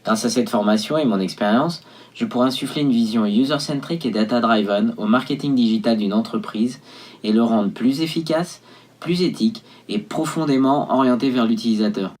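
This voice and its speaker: A man's voice: mid-range voice, moderate pace, a little mellow. A man in his thirties from France, presenting himself in a playful scripted video and arguing with a voice assistant. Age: 30s. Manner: playful, mock-exasperated with the assistant.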